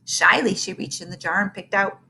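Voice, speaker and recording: A woman reading a children's book aloud as storyteller, with an expressive, theatrical delivery. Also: medium pitch, a little fast, gravelly. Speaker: story reader.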